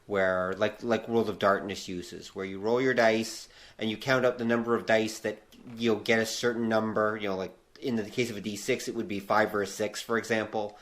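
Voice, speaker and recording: A man's voice: lowish voice, little pitch movement, moderate pace, few pauses, fairly bright tone. A man hosting a casual podcast chat, agreeable as he explains things with small hedging asides. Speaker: podcast host.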